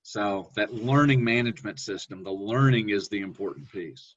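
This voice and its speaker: A man presenting a webinar on online teaching, talking in a relaxed, conversational way with fillers and self-corrections. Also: normal speed, husky. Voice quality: deep voice. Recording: dull lo-fi webinar audio.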